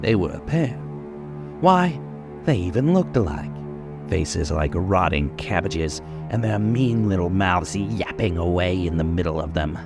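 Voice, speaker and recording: A man's voice: sits low, lively intonation, measured pace, music in the background. An actor in an old-time radio crime drama playing a cocky, bitter gunman with menacing, taunting, slangy tough talk. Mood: bitter, brooding. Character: cocky bitter gunman confessing his life story.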